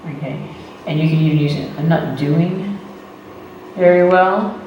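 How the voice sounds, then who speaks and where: A man, teaching beginner English slowly and patiently, repeating phrases and checking in with "okay" and "right". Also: on the high side, darkish tone. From Texas. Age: 30s. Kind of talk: slow, deliberate beginner English lesson. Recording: echoey room, voice far from the mic, faint noise.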